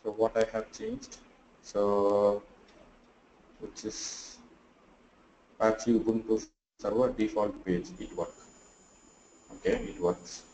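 A tired, sleepy man teaching a live online class, talking through his screen in a halting way full of fillers. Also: fairly low pitch, fairly even intonation, a little mellow, reverberant, a little hiss or hum, rough recording. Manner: halting, thinks aloud with many fillers. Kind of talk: live screen-share tutorial.

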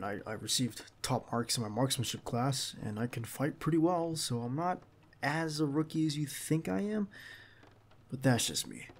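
A man acting a tense, loyal, protective bodyguard in an ASMR roleplay, speaking in a halting, earnest and reassuring way. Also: medium pitch, a little hiss or hum, lightly acted. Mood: modest, easing.

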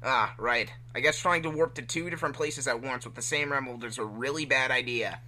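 A man's voice: mid-range voice, fairly quick, bright, forceful tone, slight background noise. A man voicing a cocky, indignant young hedgehog hero in a fan-fiction reading, casual and complaining.

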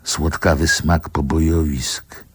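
An actor playing a wistful older man who narrates his childhood in a solemn, poetic radio drama monologue, with a gravelly, husky voice. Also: rumbling low, little pitch movement, no room sound, studio quality, full character voice. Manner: deliberate, solemn, poetic delivery.

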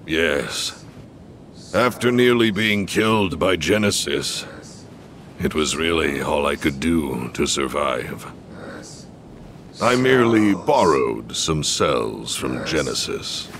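Low, sharp-voiced scheming scientist. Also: male voice, lively intonation, slow pace, slight background noise, full character voice. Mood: scheming, intense. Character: scheming middle-aged male rogue scientist, cold and self-justifying. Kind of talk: English video game dub acting.

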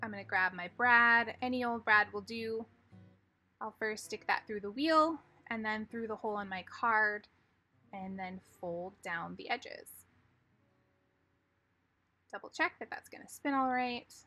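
A woman calmly explaining each step as she narrates a card-making tutorial. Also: mid-range voice, unhurried, long pauses. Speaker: craft tutorial host.

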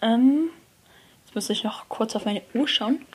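A young person, likely a teen, giving hesitant gameplay commentary, often correcting themselves and dropping to a near whisper. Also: female voice, fairly high pitch, unhurried, a little mellow. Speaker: let's player. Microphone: phone screen recorder mic. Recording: noisy lo-fi phone recording.

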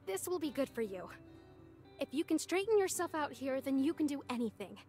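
A woman's voice: high voice, very animated, brisk, a little mellow. A woman playing a caring but strict park ranger friend in a visual novel game, with an affectionate, motherly scolding manner. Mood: warm, encouraging. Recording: music behind the voice.

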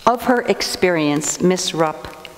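An older woman, an assistant pastor, preaching a measured sermon from a church lectern. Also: lowish voice, animated, a little hiss or hum. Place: church sanctuary, indoors. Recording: long reverb of a church sanctuary.

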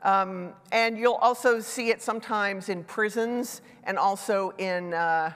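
A woman's voice: medium pitch, measured pace, fairly bright tone, smooth. A middle-aged woman giving a conversational conference talk on stage with a headset mic, a little congested, adding self-deprecating asides. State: congested from a sinus infection. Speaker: conference speaker. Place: large dark stage hall. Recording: reverberant conference hall.

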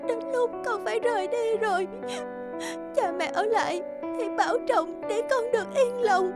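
A woman voicing a sweet, sorrowful young fairy maiden in an animated fairy tale, pleading and earnest. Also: extremely high pitch, normal speed, over loud music. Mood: tearful farewell.

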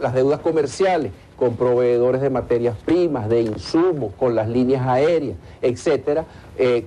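Mellow, deliberate man. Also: mid-range voice. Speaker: economic analyst being interviewed. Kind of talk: live television news interview. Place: TV studio in Caracas.

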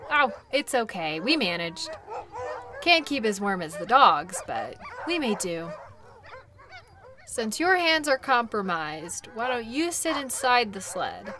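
A woman playing a friendly, caring dog sled guide in an intimate audio role play, gentle and teasing. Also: on the high side, very animated, takes it slowly, noisy background. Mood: reassuring.

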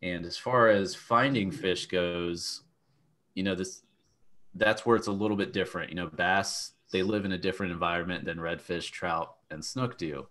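Deep-voiced young man, practical advice. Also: steady tone, moderate pace, bright, forceful tone. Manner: plain, practical explaining. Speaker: fishing coach. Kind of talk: casual panel chat. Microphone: video call.

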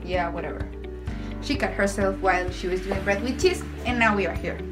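A woman's voice: mid-range voice, a little fast, over music, close to an everyday voice. Teen girl playing a bossy TV cooking show host. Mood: presenting, blunt. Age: teens. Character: bossy, blunt mother hosting a TV cooking show. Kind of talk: amateur scripted TV show acting. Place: home kitchen and bedroom.

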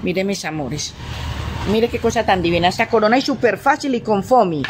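A woman's voice: medium pitch, brisk, noisy background. A middle-aged Colombian woman chatting casually through a craft demonstration, affectionate and repetitive, full of endearments and diminutives. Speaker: craft tutorial host.

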